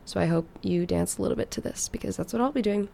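A woman's voice: mid-range voice, fast, vocal fry, dark tone, a little hiss or hum. Laid-back woman DJ chatting between songs. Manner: laid-back, chatty, with fillers and trailing asides. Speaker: radio DJ. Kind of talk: casual music show chat.

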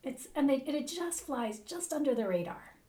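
A woman's voice: high voice, lively intonation, normal speed, warm tone, distant mic. A woman hosting a conversational book podcast, thoughtful and reflective, recorded in a roomy space away from the mic. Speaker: podcast host.

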